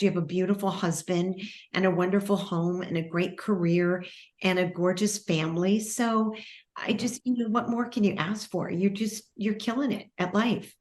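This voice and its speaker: A woman's voice: mid-range voice, a little mellow. A woman who is a guest on a podcast, speaking in an effusive, affectionate way and heaping praise on the host. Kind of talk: casual conversational podcast chat.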